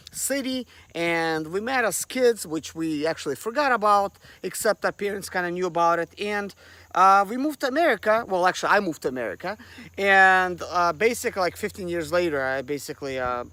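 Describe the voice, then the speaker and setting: A man's voice: high voice, bright, forceful tone. A man from Russia, hosting a casual vlog and rambling with lots of fillers. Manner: rambling, full of fillers like "like" and "you know". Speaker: vlog host. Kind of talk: casual chat to camera.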